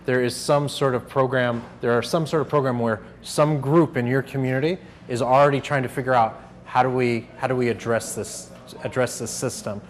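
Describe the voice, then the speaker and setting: A man hosting a workshop, explaining to a group in a halting, conversational way as he moderates. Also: medium pitch, steady tone. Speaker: workshop host and panel moderator. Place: room at a workshop. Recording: noisy room recording.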